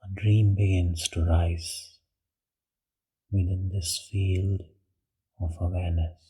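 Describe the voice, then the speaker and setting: A man leading a guided meditation in a hushed, breathy voice, with short phrases and long pauses. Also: low-pitched, flat delivery, low-quality recording.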